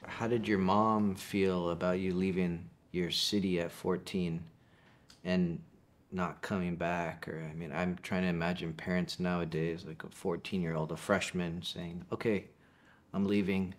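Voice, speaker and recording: A young adult man hosts a podcast interview. His voice is low-pitched, and he asks open, curious questions and follows up with his own thoughts. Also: flat delivery, a little slow. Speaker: podcast host.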